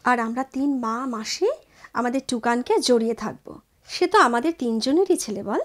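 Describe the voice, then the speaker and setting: An actress playing a practical, concerned woman friend in an audio drama, with an earnest, measured, gently questioning delivery. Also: on the high side, natural voice. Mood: gentle. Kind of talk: Bengali audio drama acting. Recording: prominent music over the voice. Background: loud music with woodwind.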